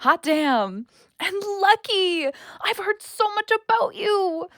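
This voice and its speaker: A woman's voice: extremely high pitch, very animated, slow pace, a bit bright, dry recording, studio quality, exaggerated voice. A woman playing a scatty, friendly, tipsy woman in an audio play, loose and slightly muddled in manner. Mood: friendly, flustered. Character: scatty, friendly, tipsy woman wandering home after a night out.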